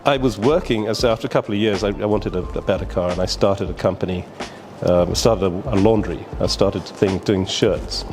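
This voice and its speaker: This is an older man in his sixties with a lilt and a low voice. He tells his life story as a guest on a talk show in a loose, rambling way and laughs along the way. Soft music plays faintly behind him. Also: normal speed, hardly any pauses. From Barbados. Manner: rambling, laughs while telling stories. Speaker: guest, businessman. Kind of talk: casual interview storytelling.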